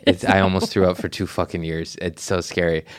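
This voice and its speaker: A man's voice: deep voice, level tone, a little fast, smooth, no echo. A man who is a comedian, chatting casually on a podcast, swearing freely and slipping into silly voices. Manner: profane, goofy, slips into voices and impressions. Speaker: comedian guest.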